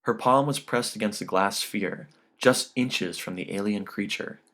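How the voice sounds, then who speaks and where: A man's voice: lowish voice, a little slow, fairly bright tone. A man reading a short story as an audiobook narrator, in scripted literary narration.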